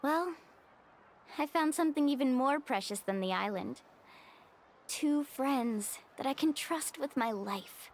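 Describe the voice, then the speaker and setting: An actress playing a friendly, sincere young adventurer in a video game, with an upbeat delivery. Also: female voice, high-pitched, lively intonation, measured pace, slight background noise.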